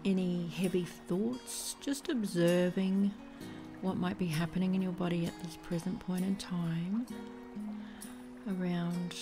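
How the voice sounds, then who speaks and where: A woman leading a guided body scan meditation in a soothing, gentle way, leaving pauses between phrases. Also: on the low side, fairly even intonation. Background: music.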